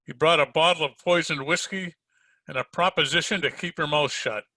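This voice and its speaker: Man playing a bright, wisecracking private eye. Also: fairly high pitch, normal speed, no room sound, poor audio. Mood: cool, accusing. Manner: hard-boiled, sardonic, slangy. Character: tough wisecracking male private detective. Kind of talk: 1940s radio detective drama acting and narration.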